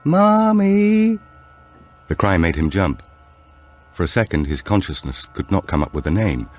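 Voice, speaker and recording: A man reading a thriller audiobook in a measured, dramatic storytelling manner. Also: a bass voice, faint music behind. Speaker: audiobook narrator. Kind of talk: scripted thriller narration.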